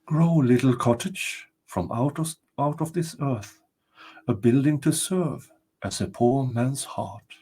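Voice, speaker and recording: A man voicing a calm, solemn female spirit from a storybook folktale, speaking in a measured way. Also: mid-range voice, breathy edge, pitched above the performer's own voice. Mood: chanting.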